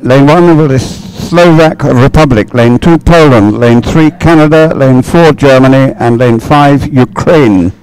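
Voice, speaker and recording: A man's voice: mid-range voice, unhurried, hardly any pauses, a little mellow, smooth, rough recording. A man giving live race commentary, musing aloud as he follows the action.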